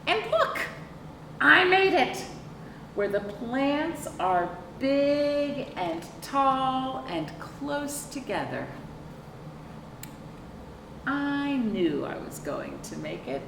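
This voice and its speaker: Animated middle-aged woman at storytime. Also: sits high. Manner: expressive, drawn-out emphasis and questions to young listeners. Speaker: children's librarian hosting storytime. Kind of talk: picture-book read-aloud with chatty asides to children. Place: small indoor room, seated on a couch. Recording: roomy, distant mic with clear background noise.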